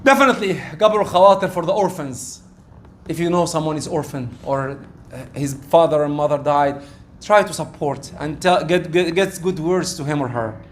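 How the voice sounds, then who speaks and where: High-voiced man preaching. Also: moderate pace, a little hiss or hum. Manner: animated, acts out quoted exchanges, rising loud when excited. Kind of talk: sermon.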